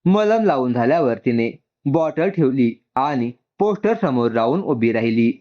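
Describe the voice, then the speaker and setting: A man's voice: mid-range voice, animated, moderate pace, dark tone. A man reading a scripted story as a narrator, acting out its dialogue, on a slightly muffled recording. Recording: dull, muffled lo-fi sound.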